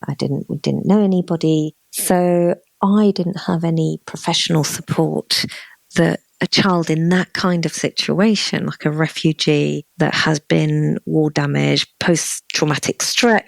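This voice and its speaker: Low gravelly woman, Chilean accent. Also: dry recording. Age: 40s. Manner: earnest, reflective, frank. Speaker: guest, spiritual consultant. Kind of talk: personal storytelling in conversation.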